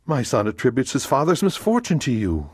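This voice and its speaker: A man's voice: medium pitch, huge pitch swings, a little fast, darkish tone, breathy edge, no echo, pitched above the performer's own voice. A man doing a despairing noblewoman from a storybook reading, begging in a voice lifted well above his own. Her pleas swoop and surge, and the sound is dry and close. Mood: pleading. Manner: desperate, imploring. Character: anguished middle-aged noblewoman, pleading mother.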